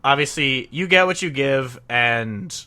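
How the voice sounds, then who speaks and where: A young man co-hosting a casual podcast, agreeable and quick to say yeah while giving his own opinions. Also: medium pitch, pushed and bright. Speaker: podcast co-host. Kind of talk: casual chat.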